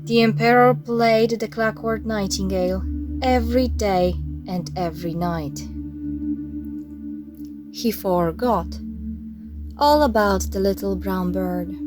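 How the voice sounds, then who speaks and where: Unhurried woman reading a storybook over music. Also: on the high side. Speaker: storybook reader. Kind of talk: scripted children's story narration. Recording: music playing behind the voice.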